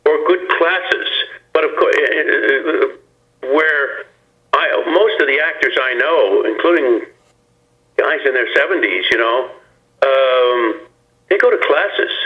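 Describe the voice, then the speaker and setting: An older actor talking as a guest in a phone-in interview, reflective and rambling, with many restarts. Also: male voice, on the high side, highs cut off.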